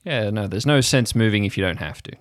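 Man playing a low-voiced, stubborn ex-sailor. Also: a little fast, no room sound, lightly acted. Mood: dismissive. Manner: stubborn and dismissive. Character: gruff, evasive, muscular man in his thirties, former sailor. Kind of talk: improvised audio drama dialogue.

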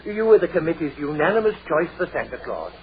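A man's voice: a little high, big pitch swings, quick pace. An actor playing a genial, formal committee chairman in an old radio comedy, effusive and courteous, brushing past objections. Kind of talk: 1940s-50s radio sitcom acting. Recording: dull radio recording with audience noise.

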